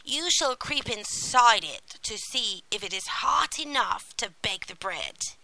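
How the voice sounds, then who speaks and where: A woman's voice: fairly high pitch, expressive, takes it slowly, creaky, sharp tone, no room sound, heavily acted, rough recording. A woman voicing a cruel, greedy old witch from a storybook, with a scolding, menacing manner. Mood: commanding. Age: older. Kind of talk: storybook character acting.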